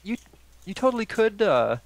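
A man chatting casually while playing a game, teasing and quick to laugh, on a headset mic. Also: high-pitched, big pitch swings, gravelly, crowd noise. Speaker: let's play co-host. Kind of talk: casual gaming chat.

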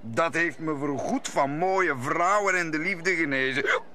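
A man's voice: on the high side, measured pace, sharp tone. An actor playing a brooding, worldly musketeer nobleman in an old Dutch radio play, telling things with dramatic deliberation. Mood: bitter, dramatic.

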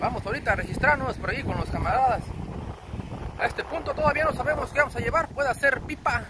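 A man's voice: extremely high pitch, quick pace. A man with a Mexican accent narrating a casual trucking vlog, chummy and slangy, talking to viewers as buddies. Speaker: truck driver and vlogger. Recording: clear outdoor wind and vehicle noise.

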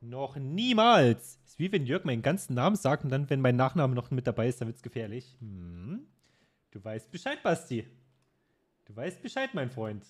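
A man's voice: mid-range voice, big pitch swings, moderate pace. A man in his twenties streaming a game from his room at home, chatting and teasing his friend. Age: 20s. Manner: joking, teasing banter. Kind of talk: casual gaming chat.

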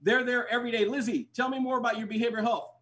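Older man, very high voice, pleading, repetitive, webcam sound, native Spanish speaker. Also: fairly even intonation, racing, a bit bright. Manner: urgent, pleading, repeats phrases. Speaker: doctor fundraising for clinics. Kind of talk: energetic fundraising appeal. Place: indoors, plain wall, home video call. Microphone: webcam on video call.

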